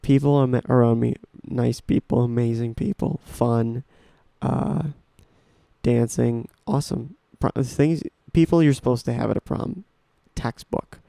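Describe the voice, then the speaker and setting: Gravelly young man rambling on a podcast. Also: medium pitch, fairly even intonation, measured pace, warm tone, no room sound. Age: young adult. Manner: rambling, enthusiastic, restarts often. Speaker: podcast host. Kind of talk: casual solo talk about music.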